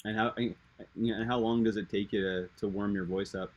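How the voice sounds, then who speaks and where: A man's voice: on the low side, steady tone, fairly quick, darkish tone, muffled recording. A man hosting an interview, asking long rambling questions full of fillers and restarts. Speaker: interview host. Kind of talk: casual interview talk.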